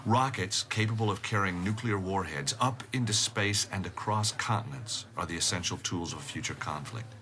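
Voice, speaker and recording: An actor playing a frank, matter-of-fact nuclear weapons expert in a radio drama. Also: male voice, sits low, normal speed, hardly any pauses, sharp tone. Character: blunt, worldly American nuclear weapons expert.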